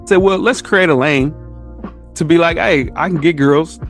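A man's voice: fairly high pitch, moderate pace, faint music behind. A man in his thirties with a Southern US accent, chatting casually and telling stories in an emphatic, slangy way. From southern United States. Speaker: podcast guest. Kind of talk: casual chat.

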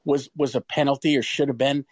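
A man telling a casual anecdote as a fan guest, halting and exasperated as he explains. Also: medium pitch, fairly even intonation, no echo, studio quality.

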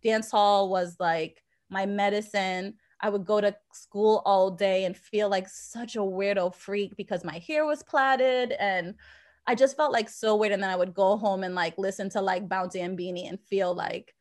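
A woman's voice: mid-range voice, steady tone, moderate pace, a little mellow. American woman telling personal stories conversationally. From born in Jamaica, grew up in Boston, USA. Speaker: podcast guest, anthropologist and professor. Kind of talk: conversational interview, personal storytelling.

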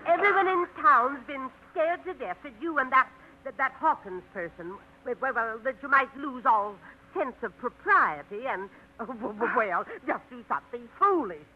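A woman's voice: high-pitched, expressive, normal speed, few pauses. Fussy, swooping small-town busybody woman. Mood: flustered. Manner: fussy, stammering, indirect. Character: prim, nosy small-town gossip woman, flustered and proper. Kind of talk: 1950s radio acting. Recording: muffled, noisy 1950s radio recording.

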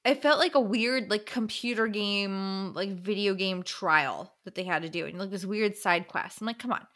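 A woman's voice: mid-range voice, moderate pace, a bit bright. A woman co-hosting a podcast in casual chat, opinionated and given to complaining. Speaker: podcast co-host.

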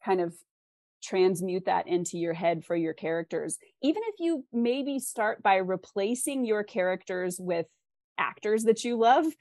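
A woman's voice: medium pitch, expressive, a little slow, darkish tone, no room sound, clean studio sound. A woman speaking conversationally as an interview guest, explaining writing craft articulately with examples. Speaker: interview guest, fiction author.

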